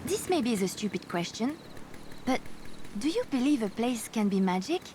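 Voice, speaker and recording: A romantic young French woman with a high voice and a French accent. She muses dramatically, tough yet dreamy, as in a video game. Clear background noise sits behind her. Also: sing-song, moderate pace, a little mellow. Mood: dreamy, intense. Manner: musing, dramatic, slipping into French. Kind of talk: video game character dialogue.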